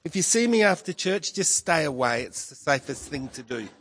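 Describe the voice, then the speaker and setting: A pastor delivering a sermon in a croaky voice, measured and emphatic, rising on key words. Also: male voice, a little high, big pitch swings, a bit bright. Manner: slow, measured preaching with emphatic rises. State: hoarse, croaky voice with a cough.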